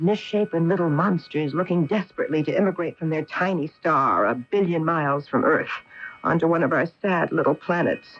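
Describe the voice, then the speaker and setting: An actress playing a wary, practical female astronaut in a 1980 radio drama, with a crisp, pointed, dramatic delivery. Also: on the low side, natural voice. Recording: dull, noisy 1980 AM radio recording.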